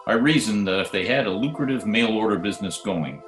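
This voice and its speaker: Man acting as private detective, low pitch, sardonic, radio narration style, roomy remote recording. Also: expressive, brisk, natural voice. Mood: matter-of-fact. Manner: dry, sardonic. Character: wisecracking hard-boiled private detective, narrating his case. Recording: roomy remote home recording, faint music.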